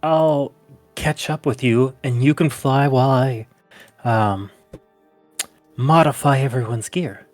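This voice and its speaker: A man's voice: medium pitch, slow pace, pauses often, darkish tone, no echo, soft background music. Man chatting in a dry-sounding space. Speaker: tabletop game player. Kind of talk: casual game chat.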